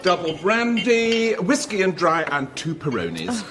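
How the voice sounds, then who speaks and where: Chirpy, expressive, fussy male fan. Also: sits high, a little slow, soft background music, exaggerated voice. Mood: cheerful, obliging. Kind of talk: radio play acting.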